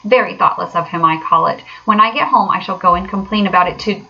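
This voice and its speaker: A woman's voice: on the low side, fast, highs cut off, lightly acted. A woman voicing a miserable, grumbling male mole in a storybook, with plaintive and sarcastic delivery.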